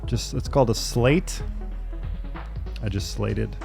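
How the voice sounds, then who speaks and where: A man's voice: lowish voice, takes it slowly, creaky, music in the background. A man in his thirties chatting casually and candidly as a podcast host, laughing easily as he talks. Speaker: podcast host and therapy client. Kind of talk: casual conversational chat.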